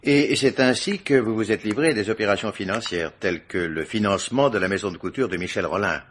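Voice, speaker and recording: An actor playing a formal, persistent police commissioner questioning witnesses in a French radio mystery play. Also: male voice, on the low side, natural voice. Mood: probing, suspicious. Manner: formal, insistent questioning with deliberate hesitations.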